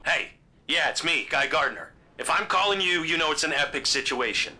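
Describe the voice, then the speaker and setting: An actor playing a brash, cocky space-cop superhero in a video game, blunt and swaggering. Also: male voice, a little high, expressive, normal speed, sharp tone. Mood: cocky, urgent.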